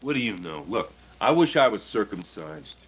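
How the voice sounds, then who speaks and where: An actor playing an earnest man in his forties, coaxing and animated as he talks. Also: on the low side, normal speed, a little hiss or hum, low-quality recording. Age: 40s.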